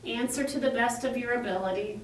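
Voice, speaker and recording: A woman playing a brisk, mildly impatient trial judge in a puppet courtroom re-enactment, with a curt, procedural manner. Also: on the high side, lightly acted. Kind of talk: courtroom re-enactment read from a transcript. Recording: echoey room, distant mic, faint noise.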